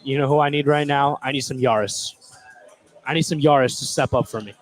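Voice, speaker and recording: A man's voice: mid-range voice, fairly quick, faint music behind, poor audio. A man doing casual color commentary on a live basketball game, analyzing plays in an informal, conversational way. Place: courtside in a school gym.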